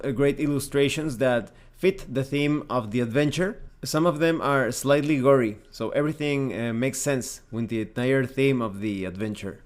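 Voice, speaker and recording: Guy doing a game review, explains stuff step by step, medium pitched voice. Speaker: reviewer, host of a tabletop game review channel. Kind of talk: semi-scripted review narration, explanatory.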